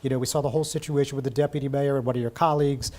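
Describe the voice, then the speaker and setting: A man's voice: mid-range voice, steady tone, very fast, darkish tone. A man hosting a local political talk show, chatting casually with lots of fillers. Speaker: TV news talk show host.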